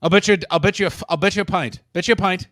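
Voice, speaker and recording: A man co-hosting a casual comedic chat, excitable and quick to swear and joke. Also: high voice, no room sound, clean studio sound. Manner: excitable, swears freely, jokey impressions. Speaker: podcast co-host.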